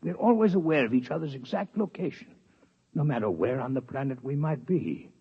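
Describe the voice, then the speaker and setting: An actor playing a courteous, coolly curious six-legged alien scientist, in formal, precise 1950s radio drama style, on a dull old broadcast recording. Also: male voice, medium pitch, lively intonation, fairly quick, slightly rough. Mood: inquisitive. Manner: formal, precise, politely detached. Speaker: alien visitor.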